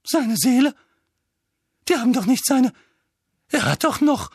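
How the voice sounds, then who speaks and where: A man's voice: very high-pitched, sing-song, unhurried, slightly rough, no echo, studio quality, pitched above the performer's own voice, exaggerated voice. A man voicing a frightened, fretful aunt in an audiobook, faltering in panic. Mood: panicked.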